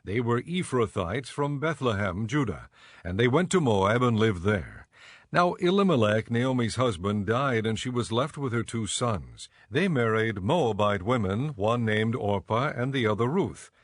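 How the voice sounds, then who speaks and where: A man narrating scripture from a script in a measured, solemn manner. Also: mid-range voice, expressive, no room sound, clean studio sound.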